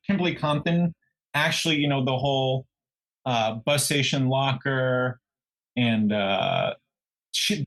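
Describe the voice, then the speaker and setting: A man co-hosting a true crime podcast, talking casually in a halting, musing way as he thinks out loud. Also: medium pitch, poor audio. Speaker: podcast co-host.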